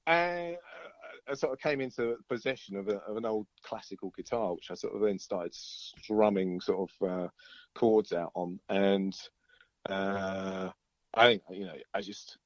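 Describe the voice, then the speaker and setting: A man's voice: on the low side, steady tone, a little slow, no echo, lo-fi sound. A middle-aged British man, a musician chatting casually in an interview, rambling through stories in a chatty way. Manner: chatty, rambling storyteller, often chuckling.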